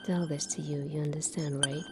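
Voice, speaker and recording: A woman performing an ASMR sci-fi roleplay as a calm, secretive military doctor, speaking softly and deliberately, at times near a whisper. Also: sits low, heavy background noise.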